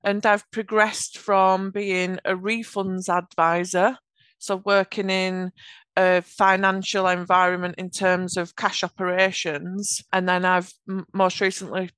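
A woman with a Yorkshire accent, a podcast guest giving earnest, measured answers about her work. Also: medium pitch, flat delivery, fairly bright tone, clean tone, dry recording. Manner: earnest, measured explanations with occasional restarts. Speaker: podcast guest, automation architect. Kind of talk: conversational interview answers.

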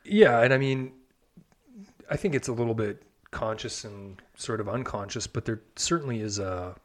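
A man co-hosting a casual podcast, musing reflectively in halting phrases. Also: medium pitch. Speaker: podcast co-host. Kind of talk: casual chat.

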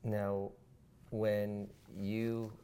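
Male interviewer, deep and mellow, short questions. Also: monotone, extremely slow, a little hiss or hum. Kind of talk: oral history interview questions.

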